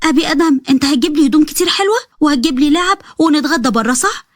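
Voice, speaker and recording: A woman's voice: high-pitched, very fast, no echo, studio quality, voice pushed up, natural voice. A woman with an Egyptian accent voicing a chatty, innocent little girl who talks in a childish, pleading way.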